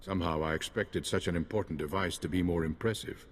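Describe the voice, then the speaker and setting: A calm, noble Jedi from a video game. The voice is low and courteous, carried in a long reverberant hall. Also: male voice, quick pace. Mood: calm, respectful. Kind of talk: scripted video game cutscene acting.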